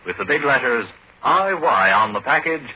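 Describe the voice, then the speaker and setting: A man announcing on 1940s radio, delivering an emphatic, salesmanlike sponsor pitch. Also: lively intonation, normal speed, husky. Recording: muffled, noisy 1940s radio recording.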